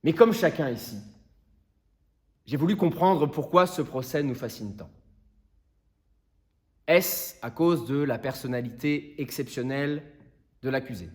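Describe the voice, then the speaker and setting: A man playing an earnest, impassioned defence lawyer, delivering a solemn theatrical plea to a jury in deliberate rhetorical phrases. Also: medium pitch, natural voice. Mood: measured, persuasive. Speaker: actor performing a monologue. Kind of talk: theatrical courtroom closing speech.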